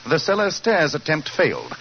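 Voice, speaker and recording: An incisive British detective from a 1940s radio play. His fairly high voice swings expressively while staying precise and superior. The old recording is dull with some noise. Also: huge pitch swings, normal speed. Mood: assured. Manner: precise, clipped, authoritative. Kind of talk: 1940s radio drama acting.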